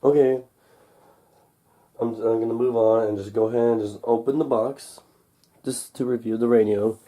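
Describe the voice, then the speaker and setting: A young man with a slightly congested, cold-recovering voice talks casually and haltingly, muttering to himself as he hosts an unboxing. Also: medium pitch, steady tone, dark tone. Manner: halting, muttering to himself while handling packaging. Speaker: unboxing video host. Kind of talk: casual unscripted product unboxing talk. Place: indoors at home, over a table. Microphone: camcorder microphone close above the table.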